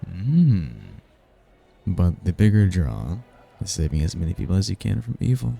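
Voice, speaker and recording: A man playing a suave, prideful demon in a romantic ASMR roleplay, smooth-talking, courtly and flirtatious, with a husky, gravelly voice. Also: low voice, lively intonation, moderate pace, dark tone, heavily acted. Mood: admiring, teasing. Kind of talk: ASMR roleplay, one-sided romantic conversation. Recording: music behind the voice.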